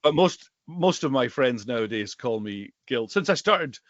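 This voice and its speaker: A middle-aged man from the Western Isles of Scotland, a native Gaelic speaker with a Highland accent, chatting conversationally as an interview guest. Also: lively intonation, normal speed, fairly bright tone, no echo, lo-fi sound. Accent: Scottish Highland. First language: Scottish Gaelic. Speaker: guest, bookseller and Gaelic teacher.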